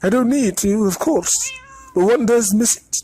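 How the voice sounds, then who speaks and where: A man's voice: sits high, lively intonation, measured pace, warm tone, breathy edge, voice pushed up, full character voice. A man voicing a courtly old male ghost who speaks wistfully in a lifted, polite voice.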